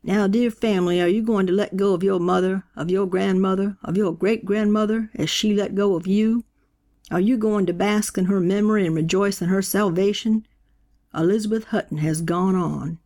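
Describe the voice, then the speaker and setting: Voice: medium pitch, fairly even intonation, moderate pace, a little mellow, close to an everyday voice. A narrator voicing a fervent elderly male preacher who fires rhetorical questions at a funeral gathering. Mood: preaching. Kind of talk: audiobook character voice.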